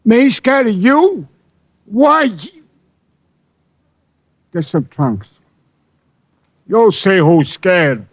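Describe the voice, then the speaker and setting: A man's voice: high voice, expressive, drawn-out words, pauses often, no echo, heavily acted. An actor playing a surly, nervous male boxer in an old radio crime drama, blustering defensively in short retorts. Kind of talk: 1940s-50s radio crime drama acting. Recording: muffled old radio recording.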